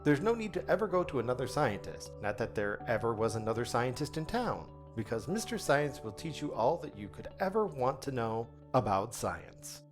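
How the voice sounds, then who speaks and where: A man acts out an irritable small-town radio host for a comic audio drama. His middle-pitched voice swings widely as he grumbles and needles with theatrical sarcasm. There is light music around the show. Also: big pitch swings, normal speed, hardly any pauses, close to an everyday voice. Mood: smooth announcer.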